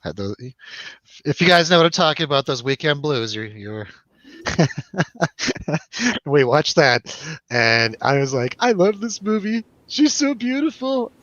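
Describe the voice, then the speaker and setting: Man, casual film-show host, rambling and sweary, bright punchy voice, dry but slightly dull recording. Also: on the high side, lively intonation, measured pace, dry recording. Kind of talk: casual chat, film review over drinks.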